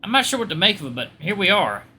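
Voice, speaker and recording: A performer voicing a gentle, slightly awkward young male college student, mild, hesitant and polite. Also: medium pitch, very animated, quick pace, faint music behind, voice pushed up. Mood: unsure.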